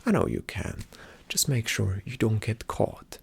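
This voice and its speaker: A man performing a whispered ASMR roleplay as a calm spy agent, giving hushed, deliberate instructions in a soft, breathy, slightly creaky voice. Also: fairly low pitch. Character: calm, attentive male spy agent briefing a partner.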